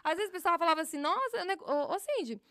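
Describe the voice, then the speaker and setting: A woman's voice: extremely high pitch, animated, quick pace, a bit bright, dry recording, studio quality. A Brazilian woman, a police officer, chatting casually as a podcast guest with slangy, laughing asides.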